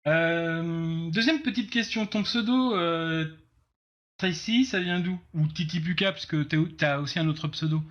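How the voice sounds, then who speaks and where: A man hosts a casual interview over a video call. His voice is bright and sits a little high, and the sound is dull and lo-fi. He speaks haltingly and restarts his sentences often. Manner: halting, many fillers and restarts. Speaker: interviewer host.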